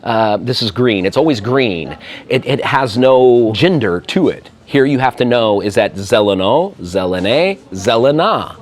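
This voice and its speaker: A man's voice: mid-range voice, lively intonation, a little slow. Chatty Midwestern American man. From Midwestern USA. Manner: chatty, self-deprecating, laughs often. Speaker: interview guest. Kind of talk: casual interview conversation.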